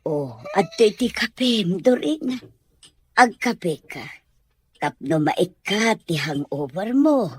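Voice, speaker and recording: Actress as a husky, humble household maid. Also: female voice, mid-range voice, sing-song, takes it slowly, exaggerated voice. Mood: meek, attentive. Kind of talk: Ilocano radio drama acting.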